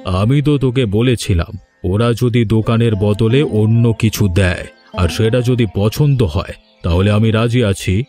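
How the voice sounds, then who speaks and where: A man playing a proud, domineering village landlord in a cartoon drama, speaking with deliberate authority. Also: lowish voice, dark tone, soft background music, natural voice. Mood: reasoning. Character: stern, domineering village landlord, male, proud but remorseful. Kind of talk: Bengali cartoon drama dialogue.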